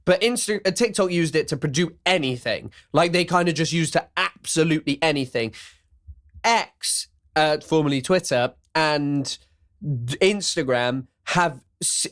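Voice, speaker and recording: A man hosting a podcast in casual chat, with a loud voice and a rambling way of talking full of restarts. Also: on the high side, moderate pace. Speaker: podcast host.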